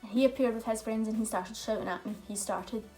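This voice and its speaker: A woman's voice: on the high side, fast, warm tone, faint music behind, rough recording. A young woman, chatting openly and telling stories in a casual question-and-answer vlog. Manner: chatty, open storytelling with fillers. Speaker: vlogger.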